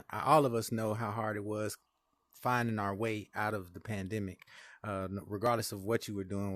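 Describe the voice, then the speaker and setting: A man's voice: lowish voice, fairly bright tone, no echo. A man hosting a casual podcast interview, laid-back and joking, hyping up his guests with playful introductions. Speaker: podcast host. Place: virtual reality room.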